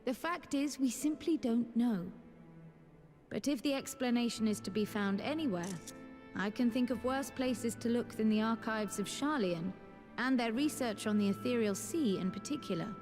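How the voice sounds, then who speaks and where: Woman voicing polite earnest young noble from a fantasy game, highish voice, formal wording, reverby, soft music. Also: lightly acted. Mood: thoughtful. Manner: formal, eloquent, deliberate phrasing. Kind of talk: scripted fantasy game dialogue. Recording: long reverb, faint music.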